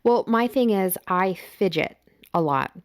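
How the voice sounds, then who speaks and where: A woman in her thirties co-hosting a casual podcast, playful and teasing, with lively reactions, drifting on and off the mic. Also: mid-range voice, a little slow. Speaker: podcast co-host. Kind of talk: casual chat. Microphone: studio podcast mic, moves in and out.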